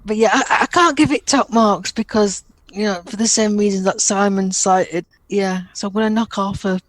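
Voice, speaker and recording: A woman's voice: mid-range voice, normal speed, dry recording. Thoughtful British woman in a dry recording. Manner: warm, thoughtful, hedging. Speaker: podcast co-host. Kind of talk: casual fan discussion.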